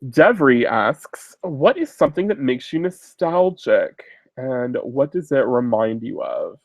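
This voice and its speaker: A man's voice: medium pitch, big pitch swings, slow pace, dry recording. A man in his thirties, a little sick and sniffling, casually answering viewer questions as host, rambling with lots of fillers. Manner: rambling, many fillers and restarts. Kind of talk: casual chat.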